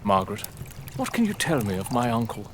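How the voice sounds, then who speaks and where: An actor playing an arrogant, sardonic aristocratic lord in an audio drama, with a British accent and a pompous, theatrical manner. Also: male voice, mid-range voice, big pitch swings, a little fast, background noise, natural voice. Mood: prying, amused.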